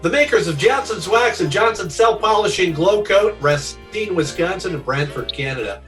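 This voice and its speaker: A man plays an upbeat 1940s radio announcer reading sponsor copy. His voice is high and sweeps widely in pitch. He sounds distant from the mic, with loud guitar music behind him. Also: animated, moderate pace. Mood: upbeat, promotional.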